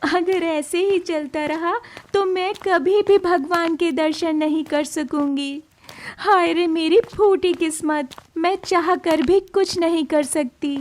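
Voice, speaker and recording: A woman's voice: very high voice, steady tone, slow pace, darkish tone. A woman voicing a lonely, meek outcast village girl in a cartoon story, plaintive and wistful. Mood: dejected. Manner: plaintive, talking to herself or pleading. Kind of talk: Hindi cartoon moral story dialogue.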